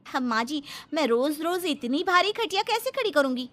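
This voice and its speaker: A woman voicing a blunt, sharp-tongued young daughter-in-law in a comic cartoon story, brazen and mocking. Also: sits high, sing-song, normal speed, noisy background.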